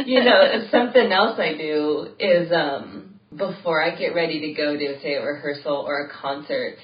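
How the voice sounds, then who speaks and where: A low-voiced woman chatting on a podcast. She is stuffy with a cold and laughs her way through lively stories. She sounds far from the mic in an echoey room. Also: unhurried. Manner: laughs often, animated storytelling. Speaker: podcast co-host. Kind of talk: casual chat. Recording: roomy, distant, dull lo-fi sound.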